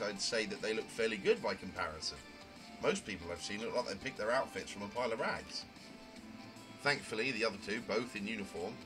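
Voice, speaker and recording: A man plays a naive young newcomer in a visual novel, low in pitch and very animated. The delivery is wordy and a little prim, rambling along as narration and dialogue, with music clearly playing underneath. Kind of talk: amateur visual novel voice acting, first-person narration.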